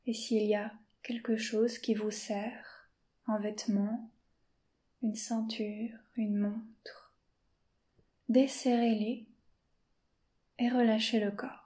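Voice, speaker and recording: A woman guides a yoga nidra session, her voice slow and unhurried. Her tone is mellow and dark, and she keeps her pitch steady and flat. She often drops to a soft whisper. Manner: slow, soft-spoken and calm, with long pauses. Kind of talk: guided meditation.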